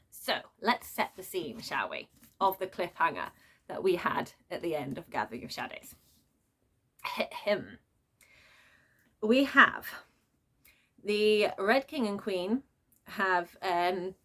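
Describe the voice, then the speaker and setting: A woman vlogger talking off the cuff in an emphatic, expressive, informal way, like a book reviewer ranting to viewers. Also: mid-range voice. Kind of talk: unscripted opinionated rant to viewers.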